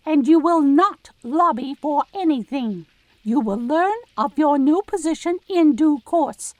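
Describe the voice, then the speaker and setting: A stern underworld official, high-pitched and very dry in sound. She is scornful and imperious, scolding in audio drama style. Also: a little mellow, no echo, poor audio.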